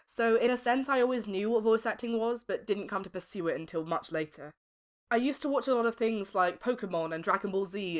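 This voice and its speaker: A British woman in her late teens giving articulate, formally worded interview answers as a voice actor. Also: on the low side. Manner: articulate, formal wordy phrasing.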